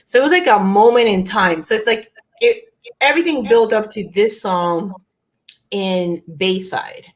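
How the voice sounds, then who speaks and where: A woman's voice: medium pitch, measured pace. A woman in her forties from Peru, telling a personal story in a casual chat with many fillers and restarts, recorded over a video call. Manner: animated, laughs often, many fillers and restarts. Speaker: co-host.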